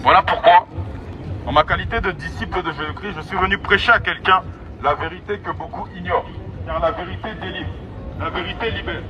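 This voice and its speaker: High-pitched man preaching through a megaphone outdoors. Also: brisk. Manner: exhorting, repetitive, emphatic phrasing. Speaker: street evangelist. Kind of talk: open-air megaphone sermon. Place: outdoors in an urban public street. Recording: dull, distant megaphone sound, loud street noise. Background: traffic and city ambience.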